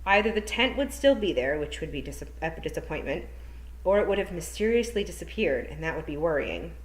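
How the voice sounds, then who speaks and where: A woman teacher reading a children's novel aloud at storytime, lively and expressive. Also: lowish voice, brisk. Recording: echoey room.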